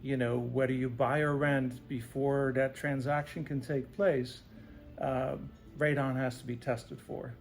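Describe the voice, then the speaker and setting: Gravelly-edged man explaining as an expert. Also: mid-range voice, level tone, unhurried, faint music behind. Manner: explains in simple terms, often tags sentences with "right?". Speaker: radon remediation specialist being interviewed. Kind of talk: explanatory interview answers.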